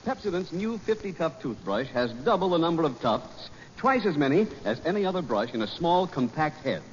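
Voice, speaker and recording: A man announcing on a 1940s radio show, reading a sponsor's sales pitch with polished, emphatic delivery. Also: big pitch swings, moderate pace, a little hiss or hum. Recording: muffled old radio recording, hall reverb.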